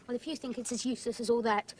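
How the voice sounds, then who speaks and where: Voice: mid-range voice, steady tone, very fast, slightly rough, lightly acted. A performer playing a stubborn, plucky schoolboy in a fantasy audio drama, with an impatient, argumentative way of talking. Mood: defiant.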